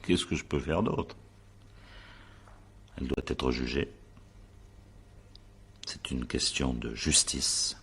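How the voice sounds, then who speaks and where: A very deep, husky magistrate. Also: male voice, fairly bright tone, full character voice. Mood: grave, resigned. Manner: probing, insistent, weighty pauses. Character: stern, methodical male examining magistrate pressing suspects. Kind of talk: French radio drama acting.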